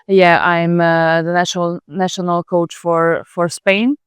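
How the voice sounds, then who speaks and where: A Serbian woman, a national coach, answering interview questions slowly with many pauses and fillers. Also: on the low side, little pitch movement, clean tone, no echo, clean studio sound.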